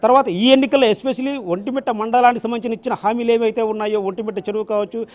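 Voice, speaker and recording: A male field reporter gives a live election report. He talks very quickly in a high voice, wordy and repetitive, tripping over word starts as he goes. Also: fairly even intonation.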